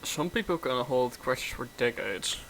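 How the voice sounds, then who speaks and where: A man's voice: mid-range voice, lively intonation, measured pace, a bit bright, a little hiss or hum. A man giving casual gameplay commentary as a gaming video host. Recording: game music behind voice.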